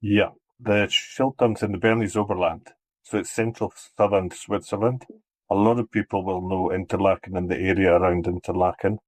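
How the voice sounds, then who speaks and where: A man's voice: deep voice, fairly even intonation, normal speed, dry recording, rough recording. A middle-aged Scottish man, guest on a travel podcast, talking about his work, often repeating words as he restarts. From Scotland. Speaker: cableway marketing head. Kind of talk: casual interview talk.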